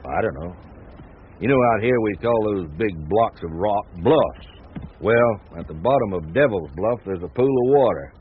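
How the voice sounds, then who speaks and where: An actor playing an easygoing, laconic ranch hand with a folksy drawl from the American West, in a radio drama. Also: male voice, fairly low pitch, lively intonation, moderate pace, lightly acted. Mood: folksy storytelling. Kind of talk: naturalistic radio drama acting. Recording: tinny narrow-band radio sound, background noise.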